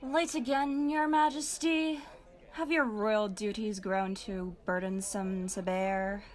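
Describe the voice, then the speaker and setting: A woman's voice: mid-range voice, steady tone, slow pace, natural voice. A woman playing a haughty, mocking male royal cousin in an amateur audio drama, with a pompous, drawling, taunting delivery.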